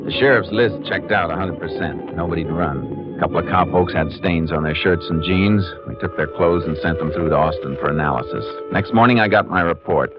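An American actor with a Western accent playing a calm, methodical Texas Ranger in a 1950s radio western, clipped and matter-of-fact. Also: male voice, sits low, a little fast, loud music behind the voice, lightly acted. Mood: measured narration. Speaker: lead actor in a radio drama. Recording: muffled, noisy 1950s radio recording.

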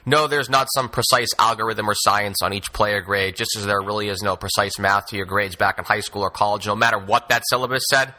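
A man's voice: fairly low pitch, fairly even intonation, fairly quick, few pauses, bright, forceful tone. A man hosting a sports podcast, talking basketball conversationally with wordy, wry asides.

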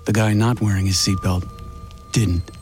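A man reading a safety announcement in a measured, dramatic narrating style. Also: fairly low pitch, steady tone. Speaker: public service announcer. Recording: loud music and sound effects under voice. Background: loud music, siren, vehicle sounds.

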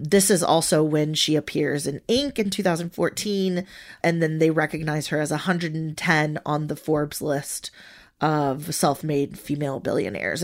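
A woman with a fairly low voice co-hosts a casual podcast. She explains facts in a steady run, one point after another. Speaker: podcast co-host. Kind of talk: casual recap chat.

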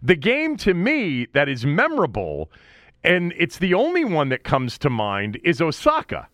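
An American man hosting a casual sports interview, talking in a halting, rambling way that often interrupts itself. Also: on the high side, wildly swooping pitch, fairly bright tone, no echo, clean studio sound. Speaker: sports radio and podcast host.

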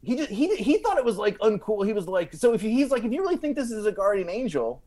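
Animated high-voiced man telling funny stories. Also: fast talker. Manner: animated, acts out quotes with frequent like and you know. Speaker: podcast guest. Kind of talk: animated comedic storytelling in casual chat.

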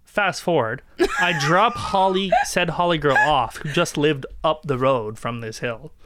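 A man's voice: mid-range voice, a bit bright, dry recording. A young Canadian man chatting casually and telling stories in a rambling, colloquial way. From Ontario, Canada. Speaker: podcast guest and co-worker of the host. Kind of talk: casual chat, storytelling.

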